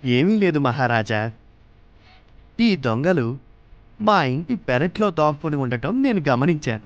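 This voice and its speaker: Wily, high-voiced male court poet. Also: very animated, unhurried, no room sound. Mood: proud. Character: clever, gleeful male court poet outwitting thieves. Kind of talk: animated children's story acting. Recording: muffled lo-fi, music underneath.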